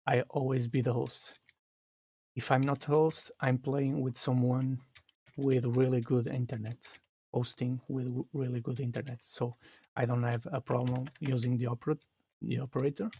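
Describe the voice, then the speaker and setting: A man from Portugal with a Portuguese accent, explaining his game live in a halting way that restarts phrases. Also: medium pitch, level tone, mellow, slightly rough. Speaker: streamer. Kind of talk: live gameplay commentary.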